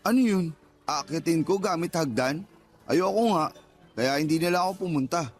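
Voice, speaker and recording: An actor playing a defensive, teasing, flippant young boyfriend with a Filipino accent in a radio drama, whining out excuses. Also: male voice, on the high side, moderate pace, lightly acted. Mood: stubborn, flippant. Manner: whiny, flippant excuse-making. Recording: background music under the voice.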